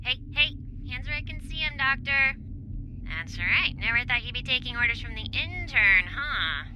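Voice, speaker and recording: An actress playing a cocky, eager young intern on a space crew in an audio drama, breezy, flippant and excitable. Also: female voice, high voice, normal speed, background noise. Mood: gloating.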